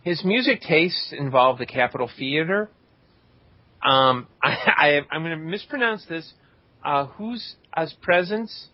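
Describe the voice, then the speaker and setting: A man with a slightly high voice hosts a podcast, reading shout-outs in a casual, joking style. The recording is a little dull and lo-fi. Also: expressive, a little slow, dry recording. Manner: joking, tongue-in-cheek. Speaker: podcast host.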